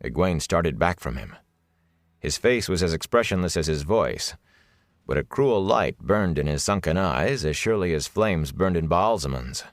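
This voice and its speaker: A man's voice: deep voice, lively intonation, normal speed, no echo. A man reading a fantasy novel as a scripted audiobook narration, close on the microphone.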